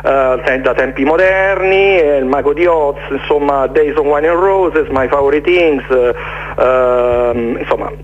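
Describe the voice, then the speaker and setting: Halting man, dull noisy radio sound. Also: fairly high pitch. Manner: halting, searching for words, repeating himself. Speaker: interview guest, jazz musician presenting his concert series. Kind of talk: informal radio interview.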